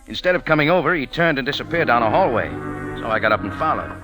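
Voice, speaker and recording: Man playing a lively, wisecracking American sleuth. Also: medium pitch, fast, lightly acted. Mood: wry, watchful. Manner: wisecracking, punchy storytelling. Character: wry, tough American cafe owner turned sleuth. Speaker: lead character and narrator. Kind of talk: 1940s hardboiled radio drama narration. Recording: old radio recording with background music.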